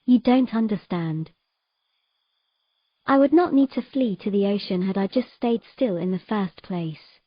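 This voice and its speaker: A woman's voice: medium pitch, a little slow, dull audio, lightly acted. A woman playing a troubled, earnest young mermaid in a romantic fantasy audio drama. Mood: distressed, ashamed.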